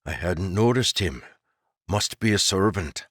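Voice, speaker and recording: A man voicing a large, humble lumberman and father who speaks respectfully to a noble and gently to his son. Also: low voice, animated, measured pace, slightly rough, no echo, clean studio sound, heavily acted.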